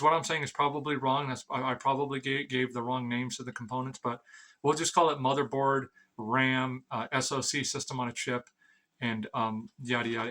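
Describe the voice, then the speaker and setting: Bright, forceful man rambling bluntly. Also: mid-range voice. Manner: blunt, sweary. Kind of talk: rambling informal explainer.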